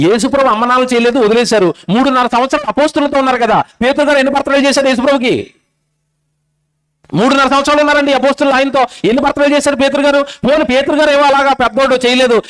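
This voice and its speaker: A man's voice: extremely high pitch, fairly even intonation, rapid-fire, a bit bright, smooth. A man preaching an impassioned sermon to a gathering, scolding and exhorting listeners with rhetorical questions.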